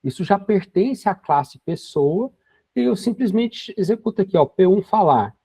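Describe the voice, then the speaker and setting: A man's voice: high voice, animated, moderate pace, mellow, breathy edge, no room sound. A man in his forties teaching a programming class, explaining each step patiently with frequent tag questions. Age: 40s. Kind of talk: screen-recorded coding lesson. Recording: dry, dull lo-fi sound.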